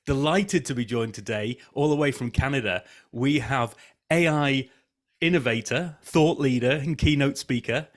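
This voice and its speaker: A man's voice: medium pitch, normal speed. A man hosting a podcast, giving an upbeat, welcoming introduction to his guest. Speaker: podcast host.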